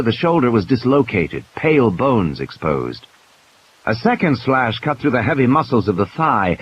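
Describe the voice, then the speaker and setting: A man reads dramatic narration for an audio play. His mid-pitched voice moves up and down expressively as he tells the story. There is a faint layer of hiss behind him. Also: lo-fi sound. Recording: hissy, noisy background.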